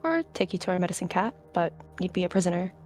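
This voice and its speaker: A woman's voice: fairly low pitch, sing-song, fast talker, darkish tone, soft background music. A woman voicing an anxious but kind young she-cat of a wild clan in a roleplay game, earnest and hesitant. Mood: reluctant.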